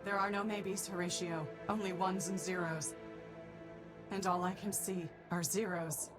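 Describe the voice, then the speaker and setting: A woman's voice: lowish voice, steady tone, unhurried, music in the background, natural voice. An actress playing an austere, coldly logical robot woman in grief, with a solemn, clipped manner, in a video game. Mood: bleak.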